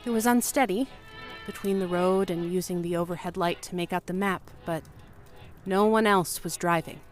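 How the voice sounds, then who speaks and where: A woman's voice: fairly low pitch, close to an everyday voice. A woman playing a frightened young woman desperately telling a story in a horror audio drama. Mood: uneasy, hushed. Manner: slow, haunted storytelling, breaking into pleading.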